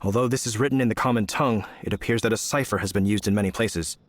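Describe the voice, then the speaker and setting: A man's voice: fairly low pitch, fast, natural voice. A man playing an earnest, courteous young knight in a video game, speaking formally and politely with eager emphasis. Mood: serious.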